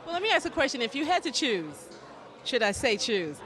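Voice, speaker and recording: Playful interviewer, man with a very high voice, crowd behind. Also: sing-song, brisk, bright, forceful tone. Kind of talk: casual interview.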